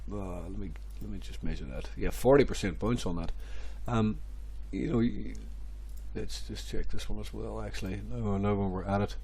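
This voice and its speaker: Hoarse, stuffy man talking crypto charts. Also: on the low side, moderate pace, warm tone, slight background noise. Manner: rambling, repeats phrases, many ums and restarts. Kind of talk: casual screen-share market commentary.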